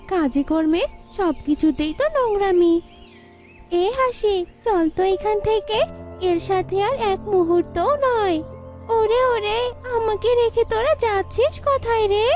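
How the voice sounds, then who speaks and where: A woman voicing a brash, squabbling farmyard bird in a cartoon. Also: extremely high pitch. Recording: faint music behind the voice.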